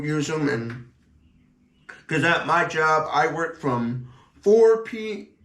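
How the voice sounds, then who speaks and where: A man's voice: on the high side, fairly bright tone. A man giving a casual, unscripted product review in a rambling, repetitive, plain-spoken way. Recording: echoey room sound.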